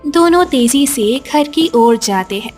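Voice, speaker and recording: A woman's voice: high-pitched, expressive, a little slow, a little mellow. A woman reading a scripted story as narrator, with music behind her. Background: background music.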